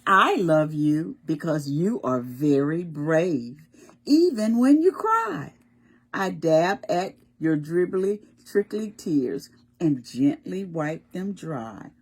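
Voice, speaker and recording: A woman reading aloud as a gentle, loving mother bunny from a picture book, in a soft sing-song voice. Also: low voice, very animated, slow pace, heavily acted. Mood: tender.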